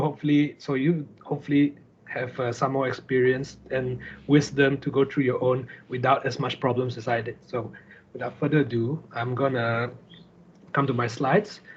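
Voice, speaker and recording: A man's voice: medium pitch, level tone, moderate pace, slight background noise. Malaysian guy doing a casual slide talk online, chatty and informal, muffled lo-fi call sound. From Kuching, Sarawak, Malaysia. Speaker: guest speaker, startup founder. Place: online video call with screen sharing. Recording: dull lo-fi online call audio.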